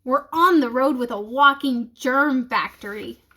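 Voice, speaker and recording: A woman's voice: high-pitched, measured pace, bright, forceful tone, heavily acted. A woman reading the part of a worried, sarcastic schoolboy who complains, in a children's storybook. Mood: sarcastic grumbling. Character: worried, grumbling schoolboy afraid of germs.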